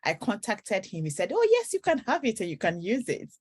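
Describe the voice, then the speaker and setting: A woman's voice: medium pitch, huge pitch swings, brisk, breathy edge. Woman from ghana, author, talks earnestly about her book, faith interview. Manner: earnest storytelling, breaks into song.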